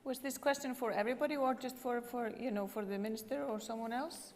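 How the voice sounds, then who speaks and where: A woman moderating a panel, light and quick to laugh. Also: fairly high pitch, a little hiss or hum. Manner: light, laughing, brisk with turns. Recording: echoey reverberant hall.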